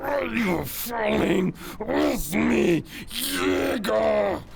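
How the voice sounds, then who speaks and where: A man's voice: expressive, noisy background, full character voice. A man playing a menacing, defiant werewolf villain in an audio drama. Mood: furious, taunting. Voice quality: deep gravelly growl. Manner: slow, taunting, threatening. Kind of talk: cinematic audio roleplay acting.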